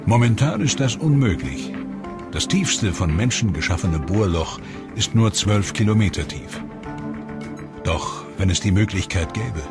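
A man giving measured, explanatory scripted voice-over narration for a documentary. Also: on the low side, animated. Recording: voice-over with music behind.

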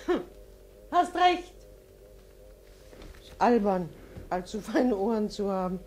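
A woman's voice: mid-range voice, very animated, slow. A jittery mother. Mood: uneasy. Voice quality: nervous, agitated, often hushed. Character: anxious middle-aged mother visiting her daughter. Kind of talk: 1950s radio drama acting. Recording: noisy old 1950s radio recording.

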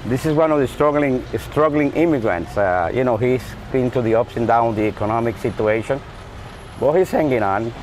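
A middle-aged man talks loudly and quickly with a jovial manner at a shop doorway on a city street. Faint traffic noise sits behind him. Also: medium pitch, animated. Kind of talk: documentary interview.

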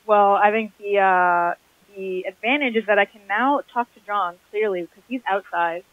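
A woman's voice: medium pitch, normal speed, dry recording. A woman in her twenties chatting casually on a film set through a dull radio intercom headset. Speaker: filmmaker. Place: dim room indoors. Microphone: headset intercom mic.

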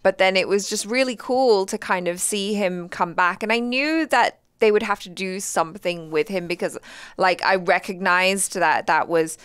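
A woman's voice: mid-range voice, moderate pace, smooth, dry recording, studio quality. A young woman chatting casually and expressively as co-host of a reaction show, on a headset mic. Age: young adult. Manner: expressive, acts out imagined quotes. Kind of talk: casual, animated reaction chat. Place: indoor studio room. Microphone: headset boom mic.

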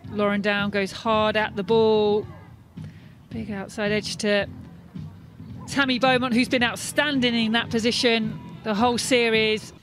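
Even-toned female cricket analyst over music. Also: a little high, a little slow. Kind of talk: sports replay analysis.

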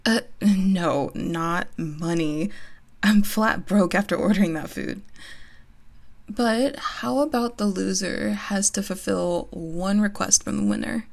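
A woman's voice: mid-range voice, slow, creaky. A woman playing a teasing, competitive gamer best friend in a casual, giggly ASMR roleplay. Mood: playful. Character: playful, competitive gamer girl, listener's close best friend. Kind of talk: ASMR roleplay, casual one-sided conversation.

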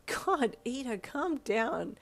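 A woman voicing a blunt, hungry young character who talks in slang and flat deadpan, quick to snap, in a storybook reading. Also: a little high, voice pushed up, full character voice. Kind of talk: audiobook character voice.

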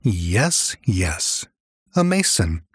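Cunning vengeful aristocrat, played by a male narrator, gothic audiobook; slow, husky, formally polite. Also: low voice, big pitch swings, dry recording, studio quality, full character voice. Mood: sly. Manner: formal, falsely solicitous. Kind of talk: dramatic audiobook dialogue.

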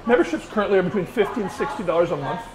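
A middle-aged man, a winery owner, answering interview questions in a relaxed, chatty way with many small pauses, on a lapel mic in an indoor tasting room. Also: sits high, poor audio. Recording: lapel mic with busy crowd noise behind. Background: crowd chatter.

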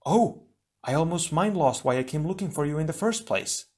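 A man's voice: on the high side, very animated, fairly quick, a little mellow, voice pushed up. A man voicing a cheerful, chatty young male air hero who speaks in broken, jumbled grammar. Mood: eager.

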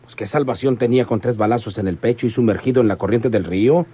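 An actor playing a cold but deferential gangster henchman in a radio serial, with a husky voice. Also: mid-range voice, fast, dark tone, natural voice. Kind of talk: old-time radio serial acting.

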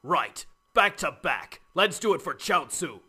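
A man's voice: fairly high pitch, very animated, unhurried, sharp tone, breathy edge, exaggerated voice. A man voicing an earnest, melodramatic warrior in an anime parody, solemn and protective. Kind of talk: anime parody dub acting.

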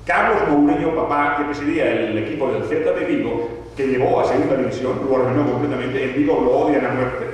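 A man in his forties, an author, telling a long story to an audience at a panel table, with lively asides and gestures. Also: medium pitch, fairly quick. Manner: animated storytelling with wide hand gestures and asides. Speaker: author presenting his book. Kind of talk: lecture-style talk to an audience. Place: meeting room at a panel table. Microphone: table mic on a stand. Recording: roomy hall sound, mic at a distance, faint hiss.

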